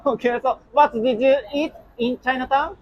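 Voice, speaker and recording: A man's voice: very high voice, fairly even intonation, normal speed, muffled recording. A Japanese man with a Japanese accent, interviewing people on the street with short polite questions and many thank-yous. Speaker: street interviewer and channel host.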